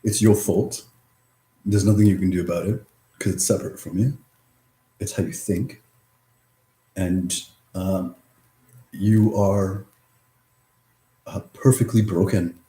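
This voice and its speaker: A man giving a rambling, reflective vlog monologue, mumbling and halting, with drifting pauses between phrases. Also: low-pitched, steady tone, warm tone. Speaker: vlogger. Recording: roomy echo.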